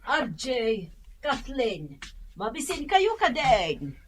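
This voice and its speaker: A woman acts a well-off, bossy auntie in an Ilocano radio drama. She speaks high, with animated swoops and an Ilocano accent, in an instructing way. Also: takes it slowly, slight background noise, exaggerated voice. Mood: softer. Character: well-off older auntie giving out household chores.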